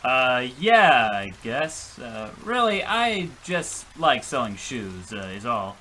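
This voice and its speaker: A man's voice: mid-range voice, sing-song, slow, pushed and bright, slight background noise, exaggerated voice. A man playing a hapless, melodramatic shoe salesman in a comic radio play, earnest, flustered and prone to stammering. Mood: awkward, amiable. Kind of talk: comic old-time radio play acting.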